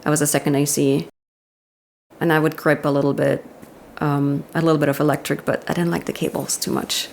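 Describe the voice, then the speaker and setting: Austrian-accented woman guest in her thirties, low gravelly voice, candid and quick to laugh. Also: little pitch movement, a little mellow, slight background noise. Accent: Austrian German. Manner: candid, laughs often, quick self-deprecating asides. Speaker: guest, commercial director. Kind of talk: casual interview chat.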